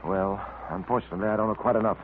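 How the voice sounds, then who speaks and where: A man's voice: on the low side, fairly even intonation, racing, lightly acted. A man acting a commanding, persistent detective in an old radio drama, with a firm, clipped, demanding delivery. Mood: measured, serious. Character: commanding, persistent male police detective questioning suspects. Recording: tinny, noisy old radio recording.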